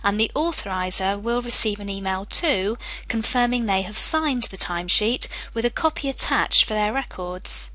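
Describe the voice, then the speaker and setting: A woman presenting a software demo as a scripted screencast, explaining clearly step by step. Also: on the high side, no room sound, low-quality recording. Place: voice-over for screen recording.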